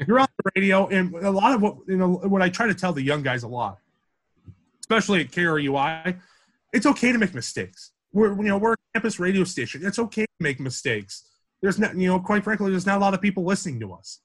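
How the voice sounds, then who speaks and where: A young man with a deep, projecting voice, a campus radio sports director talking casually as a podcast guest, with many restarts and fillers. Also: expressive, fast. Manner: rambling with frequent restarts and fillers.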